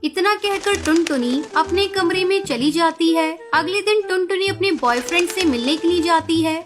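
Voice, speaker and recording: Female narrator for children's tales, very high voice, prominent music underneath. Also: normal speed. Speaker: story narrator. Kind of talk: scripted children's story narration. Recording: music behind the voice.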